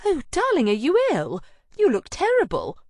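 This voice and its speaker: A woman doing a shrill, excitable friend. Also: moderate pace, no echo, full character voice. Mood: chirpy, alarmed. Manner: high, loud, excitable exclamations. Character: brash, fussy young woman friend. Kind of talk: audiobook character voice. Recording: dry close studio recording.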